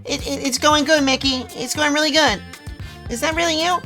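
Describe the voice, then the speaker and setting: High, stammering woman on voice chat. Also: brisk, sharp tone, faint music behind. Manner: stammering, incredulous, repeats words. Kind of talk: casual voice chat.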